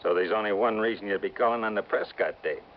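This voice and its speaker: A man's voice: fairly low pitch, fast talker, close to an everyday voice. An actor playing a menacing gangster henchman with slangy, ungrammatical tough talk in a 1950s radio crime drama. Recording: muffled, very noisy old radio recording.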